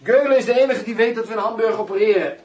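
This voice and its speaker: An actor playing a cool, sardonic undercover bodyguard in a radio drama, dry and clipped. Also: male voice, high-pitched, quick pace, darkish tone, echoey room, recorded from a distance, natural voice. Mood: wry.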